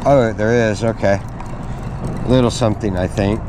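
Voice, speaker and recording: A middle-aged man with a fairly low voice talks casually to his camera on an open pier. He sounds low-key, thinking aloud as he fishes, with clear outdoor noise around him. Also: steady tone, moderate pace. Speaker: angler narrating own fishing outing. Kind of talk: casual vlog commentary.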